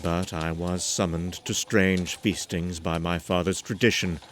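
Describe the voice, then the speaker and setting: A man playing a lonely, uneasy traveller who narrates a gothic horror tale in a brooding, halting, theatrical way. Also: sits low, flat delivery, a little hiss or hum. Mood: uneasy, apprehensive. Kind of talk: dramatic scripted horror narration.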